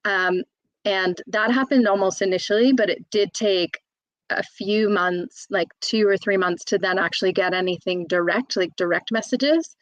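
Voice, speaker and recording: A woman's voice: medium pitch, normal speed, no echo, poor audio. A woman, an employment solicitor in her thirties, talking openly and chattily as an interview guest, with plenty of fillers and restarts. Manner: open, chatty, frequent fillers and self-restarts. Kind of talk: candid conversational interview.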